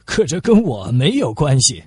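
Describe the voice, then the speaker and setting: A man's voice: medium pitch, very animated, normal speed, breathy edge, no room sound. A man voicing a nosy, jocular little wood sawyer in an audiobook, familiar and teasing.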